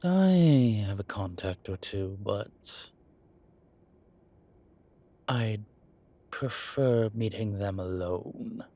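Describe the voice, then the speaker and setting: A man playing a proud, sardonic incubus demon professor in a roleplay audio drama, with a halting, deliberate delivery. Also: expressive, mellow, heavily acted. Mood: guarded, reluctant. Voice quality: low, husky voice. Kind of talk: roleplay audio drama, speaking to an unseen listener.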